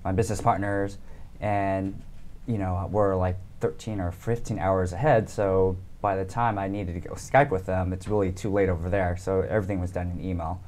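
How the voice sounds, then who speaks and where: A man in his thirties from the United States, talking casually as an interview guest, relaxed with many ums and easy laughs. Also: sits low, moderate pace, a little hiss or hum.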